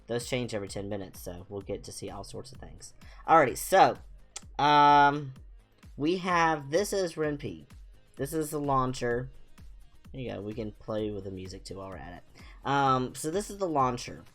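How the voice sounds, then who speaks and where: Male streamer, young adult. Informal, rambling, self-deprecating talk in a medium pitch, light music underneath. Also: lively intonation, normal speed, fairly bright tone. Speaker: livestream host. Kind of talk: casual chatty livestream. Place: small home room, indoors.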